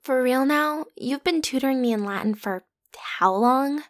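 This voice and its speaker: A woman's voice: high voice, normal speed, close to an everyday voice. A woman playing a popular, breezy, pushy teenage schoolgirl in an ASMR roleplay, talking casually and teasingly as if to one listener. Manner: playful, teasing, casual youthful phrasing with giggles. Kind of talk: ASMR roleplay, one-sided conversational acting.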